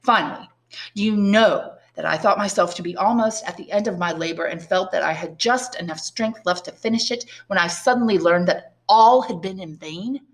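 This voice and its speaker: A woman's voice: medium pitch, normal speed, close to an everyday voice. An elderly, learned priest long held prisoner, acted out by a woman in a classic audiobook. The delivery is articulate and emphatic, full of rhetorical force, bitter and resigned. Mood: exasperated, despairing. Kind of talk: dramatised audiobook reading of a classic novel.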